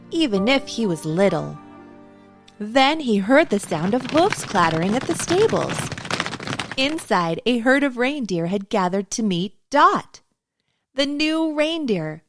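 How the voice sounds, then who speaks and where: A woman reading a picture book aloud to young children as a storytime host, in an expressive, deliberate way. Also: a little high, over music.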